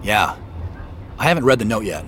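An actor playing a wealthy company boss on a mysterious quest, in a naturalistic audio drama. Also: male voice, lowish voice, very animated, normal speed, a bit bright, slight background noise. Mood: intrigued.

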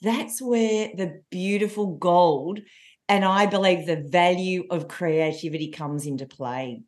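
A woman, a coach telling stories in a podcast interview with a loud, lively voice. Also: lowish voice, measured pace. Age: 40s. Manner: lively storyteller. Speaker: coach and trainer.

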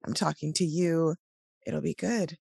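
A woman, co-hosting a casual morning podcast chat in a relaxed, meandering way, her voice still sleepy. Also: sits low, unhurried, gravelly, slightly rough, no room sound, clean studio sound. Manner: relaxed, laughs often, meandering. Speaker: podcast co-host.

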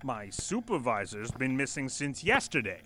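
Brash, bright-voiced defiant executive. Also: male voice, mid-range voice, big pitch swings, moderate pace, soft background music. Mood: smug, controlled. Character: brash, defiant former executive turned kiosk worker. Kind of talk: audio drama acting.

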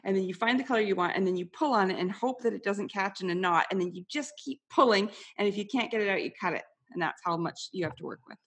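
A woman hosting an interview podcast, telling relaxed stories in casual chat. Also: mid-range voice, quick pace, few pauses, husky. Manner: relaxed, storytelling, easy laughs. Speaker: podcast host.

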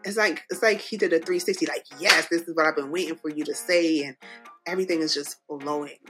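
A woman from Jamaica with a Jamaican accent, hosting a podcast in lively, emphatic casual chat and laughing often. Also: low voice, fast talker, loud music behind the voice. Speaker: podcast host. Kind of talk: casual faith-filled chat.

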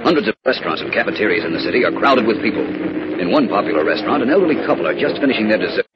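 A man's voice: lowish voice, lively intonation, racing, very noisy, close to an everyday voice. A man acting as the suspenseful, theatrical announcer and narrator of a 1940s radio adventure serial. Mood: urgent, ominous. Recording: muffled old radio broadcast.

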